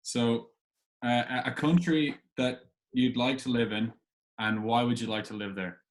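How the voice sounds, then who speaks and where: A man's voice: medium pitch, steady tone, a little slow. A man hosting a casual interview, asking questions in a hesitant, agreeable way. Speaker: podcast host, interviewer.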